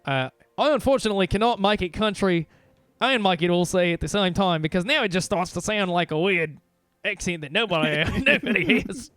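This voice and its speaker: Casual game streamer, rambling and playful Australian put on by a man, smooth voice, dry close sound. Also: high voice, lively intonation, brisk, fairly bright tone, no echo. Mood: rambling, apologetic. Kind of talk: casual livestream banter.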